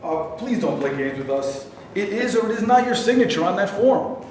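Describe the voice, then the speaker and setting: A man's voice: high voice, animated, normal speed. A man acting the part of a stern, probing official investigator in a staged reading of a play. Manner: official, questioning. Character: probing, stern male war-crimes investigator. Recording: very echoey room, distant mic, light noise.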